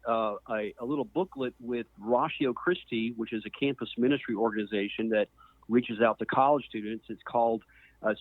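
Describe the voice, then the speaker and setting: A middle-aged man from Mississippi speaking as a podcast guest, explaining his background conversationally with measured pauses. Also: on the low side, no echo. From Mississippi, US Deep South. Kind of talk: conversational account of own background. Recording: muffled phone-like narrow band.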